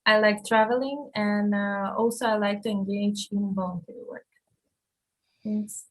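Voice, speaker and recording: A woman's voice: medium pitch, fairly even intonation, normal speed, poor audio. A twenty-two-year-old woman from Macedonia, an English teacher, introducing herself briefly on a video call. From North Macedonia. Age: early 20s.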